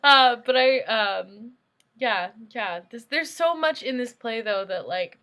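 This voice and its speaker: Bright-voiced woman, reflective and halting. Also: on the high side, expressive. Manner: halting, reflective, searching for words. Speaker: panel moderator. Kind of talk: casual post-show discussion.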